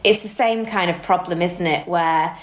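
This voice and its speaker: A woman's voice: fairly low pitch, moderate pace. A woman in her early thirties chatting casually and reflectively as a podcast co-host, recorded in a roomy, echoey space. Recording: roomy, echoey room sound.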